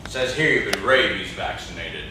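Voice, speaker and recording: A man's voice: sits low, animated, moderate pace, pushed and bright, heavily acted. A young man playing a menacing, terse old country man in an amateur stage play, with a dry, taunting manner. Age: young adult. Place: classroom-like room, indoors. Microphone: far camera. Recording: echoey room, distant camera mic, clear noise.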